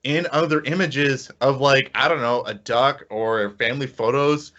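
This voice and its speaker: Dude hosting a podcast, bright forceful voice, casual explaining, slightly muffled audio. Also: male voice, medium pitch, fairly even intonation, a little slow. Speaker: podcast host. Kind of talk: casual chat.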